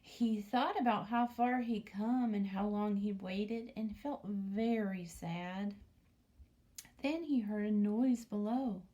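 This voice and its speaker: A woman teacher reading a picture book aloud to young children, commenting and asking questions, often dropping into a hushed near-whisper. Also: mid-range voice, slow. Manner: asks the listening children questions.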